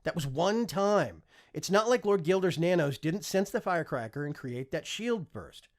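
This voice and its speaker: Man playing a hot-headed young prince, voice swinging high. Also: lively intonation, moderate pace, lightly acted. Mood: exasperated, defiant. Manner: indignant, argumentative. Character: rebellious exasperated young prince, hot-tempered. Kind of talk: audiobook character acting.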